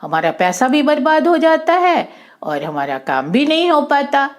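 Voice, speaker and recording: Female children's storyteller, higher-pitched and animated, unhurried, instructive, informal delivery with English words mixed in. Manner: didactic, repeats and rephrases, mixes in English words.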